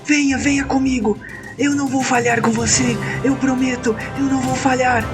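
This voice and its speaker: A man voicing a desperate, loyal young warrior in a fantasy audio drama, crying out loudly and urgently, pleading. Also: very high voice, fairly even intonation, normal speed, pitched above the performer's own voice. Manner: loud, urgent, pleading cries. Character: desperate, loyal young male warrior shouting to rescue his duke. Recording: music playing behind the voice.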